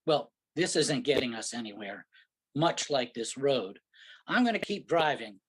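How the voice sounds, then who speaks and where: A man's voice: medium pitch, big pitch swings, unhurried, a bit bright, slightly rough, full character voice, poor audio. A man playing an impatient, grumbling New York husband lost in the countryside, in a staged reading of a comic play. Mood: frustrated. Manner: sarcastic, grumbling.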